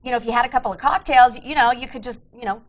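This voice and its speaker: A woman hosting a morning TV show, bubbly and quick to laugh as she interviews guests. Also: fairly high pitch. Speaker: TV show host. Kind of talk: upbeat TV interview. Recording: dull TV broadcast sound.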